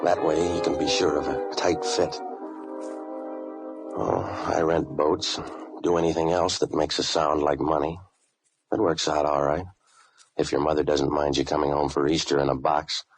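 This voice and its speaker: A man playing a cynical, tough waterfront man for hire in 1940s radio style, narrating and talking in a deadpan, wisecracking, world-weary way. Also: a bass voice, moderate pace, music in the background. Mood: deadpan, wry. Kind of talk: 1940s hard-boiled radio detective acting, first-person narration.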